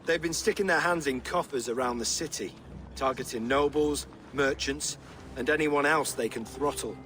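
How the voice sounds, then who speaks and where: Haughty, higher-voiced irritable king, acted by a man. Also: moderate pace, a bit bright, close to an everyday voice. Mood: curt, wary. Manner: imperious, curt. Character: irritable, haughty Viking-age king, impatient and weary. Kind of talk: dramatic video game acting.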